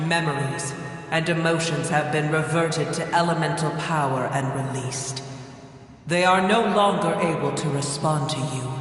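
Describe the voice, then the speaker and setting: A man voicing an ancient, proud male dragon in a video game, speaking slowly, gravely and with disdain. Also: on the high side, a bit bright. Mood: solemn. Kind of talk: video game cutscene dialogue. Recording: echoey hall with faint music.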